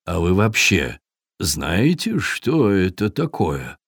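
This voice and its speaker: A man's voice: deep voice, takes it slowly, gravelly, slightly rough, no room sound, clean studio sound, pitched below the performer's own voice, exaggerated voice. Man as a firm, kindly storybook Viking. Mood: questioning. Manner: calm, admonishing. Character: good-natured but firm male Viking.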